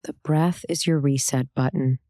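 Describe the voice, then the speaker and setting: A woman meditation teacher giving a soothing guided meditation, calm and gentle, with unhurried instructions and pauses between phrases. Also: low-pitched, dry recording, clean studio sound.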